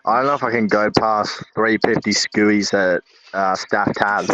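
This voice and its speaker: A man's voice: on the low side, fairly even intonation, normal speed, bright, forceful tone. A young Australian man chatting casually, with a laconic, slangy way of talking. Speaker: band member guest. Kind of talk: casual chat. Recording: dull lo-fi call audio.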